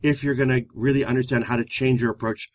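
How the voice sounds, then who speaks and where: A man with a loud voice who talks fast, co-hosting a live-streamed relationship talk show. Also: mid-range voice, no echo. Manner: fast-talking, energetic.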